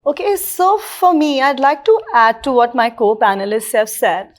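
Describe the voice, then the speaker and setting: A woman whose first language is Punjabi, speaking as a school leader on a panel, calmly recounting what parents told her. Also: high voice, normal speed. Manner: reflective, relays parents' views. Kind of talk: panel discussion.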